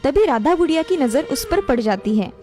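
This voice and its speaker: A woman's voice: high-pitched, expressive, moderate pace, dark tone, faint music behind. A woman narrator reading a scripted cartoon family story.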